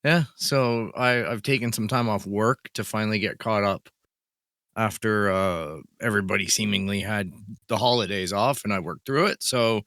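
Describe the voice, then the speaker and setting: A man from Canada co-hosting a casual podcast, his voice a little rough, easygoing and rambling. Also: on the low side, normal speed, fairly bright tone, no echo, studio quality. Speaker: podcast co-host.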